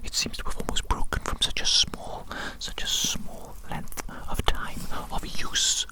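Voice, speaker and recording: Evil doctor for ASMR roleplay, acted by a performer: fully whispered, doting, soothing, repetitive, eccentric. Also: close to an everyday voice. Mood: fretful. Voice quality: breathy, unvoiced whisper. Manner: repeats phrases slowly and soothingly, doting.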